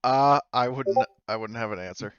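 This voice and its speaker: A man's voice: mid-range voice, moderate pace, fairly bright tone, clear, resonant tone, no echo. A man running a tabletop game as game master, talking casually, with a slightly dull recording.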